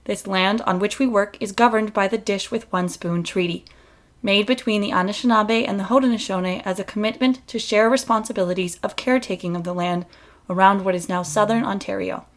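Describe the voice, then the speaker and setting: Mid-pitched woman reading formally. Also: fairly even intonation, brisk. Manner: formal, earnest. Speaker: company representative giving introduction. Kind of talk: scripted announcement, read aloud.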